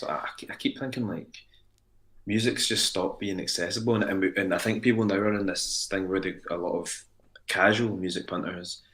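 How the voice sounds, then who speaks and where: A man's voice: low-pitched, a bit bright. A man, a radio co-host talking casually in a wry, thoughtful way. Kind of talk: casual chat.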